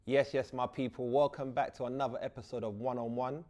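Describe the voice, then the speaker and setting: A man's voice: medium pitch, level tone, brisk, a little mellow. A man, hosting a casual podcast with upbeat, playful banter. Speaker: podcast host.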